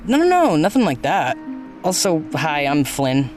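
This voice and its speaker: A woman's voice: sits low, sing-song, a little slow, a little mellow, faint music behind. A woman playing a friendly, casually awkward companion in a naturalistic audio drama. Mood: awkward, reassuring.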